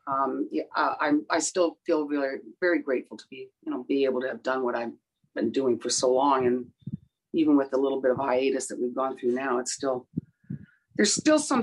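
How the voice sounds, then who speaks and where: A woman singer in her sixties with a rough voice, talking in a casual interview, halting with many fillers and restarts. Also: deep voice, rough recording.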